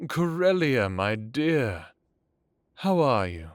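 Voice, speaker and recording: Audio drama role: age-old aristocratic male vampire acted by a man, unhurried, genteel, calmly commanding, close dry sound. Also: mid-range voice, clear, resonant tone, no room sound. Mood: gracious. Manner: formal, measured, genteel. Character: ancient, courtly male vampire lord, calmly authoritative.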